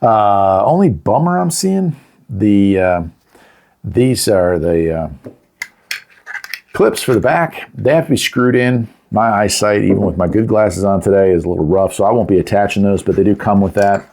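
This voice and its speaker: A man's voice: on the low side, lively intonation, moderate pace, gravelly, darkish tone. A middle-aged man giving a casual, unscripted product review in a folksy, chatty, plain-spoken way. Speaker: host of a product review channel.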